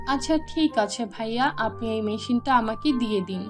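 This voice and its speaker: A woman's voice: a little high, moderate pace, faint music behind, close to an everyday voice. A woman voicing a kind, patient young seamstress, polite and earnest, in a children's cartoon. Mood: gentle.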